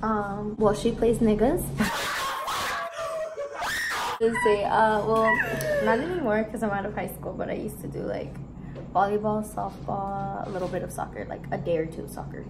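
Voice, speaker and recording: A woman's voice: mid-range voice, normal speed, gravelly, shouting, loud music behind the voice, poor audio. An eighteen-year-old woman from Arizona, co-hosting a casual Q&A vlog at home with a friend, slangy and playful. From Arizona, USA. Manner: playful, slangy, laughs often. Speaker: co-host of a YouTube channel. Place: living room at home. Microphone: camera in front of two seated girls.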